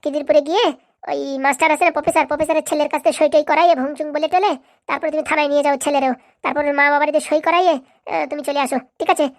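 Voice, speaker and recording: A woman's voice: sits high, fairly even intonation, racing, no room sound, studio quality. A woman voicing a bossy, boastful, scheming female power broker in a cartoon, brash and commanding. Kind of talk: amateur cartoon voice acting.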